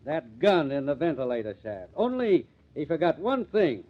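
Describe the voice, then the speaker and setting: An actor playing a sardonic, commanding police detective in a 1950s radio mystery. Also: male voice, a little high, sing-song, normal speed. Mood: sardonic, accusing. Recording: muffled, tinny old radio recording.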